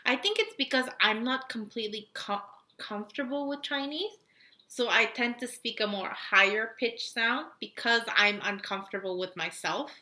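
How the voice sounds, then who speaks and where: A woman's voice: fairly high pitch, animated, bright, forceful tone. A young woman co-hosting a podcast in casual chat, agreeing often and adding her own thoughts. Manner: supportive listener, quick agreeing interjections. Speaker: podcast co-host.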